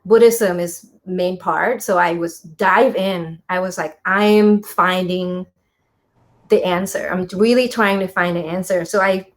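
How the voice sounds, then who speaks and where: A woman's voice: lowish voice, a little slow. Earnest woman, English. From Thailand. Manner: earnest, animated, frequent fillers. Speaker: co-guest, spiritual teacher. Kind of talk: casual interview talk.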